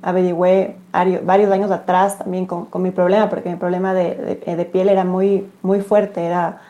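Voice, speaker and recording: A woman's voice: fairly low pitch, level tone, moderate pace, warm tone, lo-fi sound. A woman speaking as an interview guest for a business, explaining things conversationally and often answering her own questions. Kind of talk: casual explanatory interview talk.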